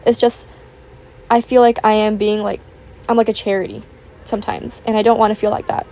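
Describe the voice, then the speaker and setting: A young woman, raspy from just waking up, rambling chattily over a voiceover and recorded at home on a built-in tablet mic. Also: mid-range voice, flat delivery, a little fast, warm tone. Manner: rambling, filler-heavy, chatty. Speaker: YouTuber. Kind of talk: casual chat voiceover. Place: home, with household noise. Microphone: built-in tablet microphone.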